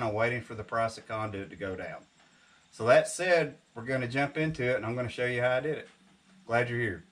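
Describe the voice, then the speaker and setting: A middle-aged man with a plainspoken, folksy delivery walks a viewer through his gear. His voice sits in an ordinary middle pitch. It is picked up on a small handheld camera, indoors and out. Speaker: off-grid DIY vlogger. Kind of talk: casual how-to walkthrough. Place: porch, then cabin and outdoors.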